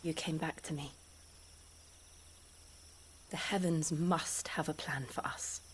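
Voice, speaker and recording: An actress playing a grave, tender young lady worn by suffering in a game, soft and often breathy. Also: deep voice, steady tone, slow, slight background noise. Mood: tender, quiet. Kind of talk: video game cutscene acting.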